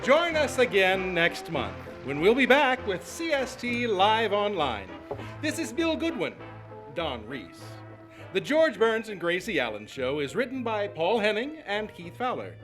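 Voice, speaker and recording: Very animated, high-voiced male radio announcer. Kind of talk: old-time radio announcing.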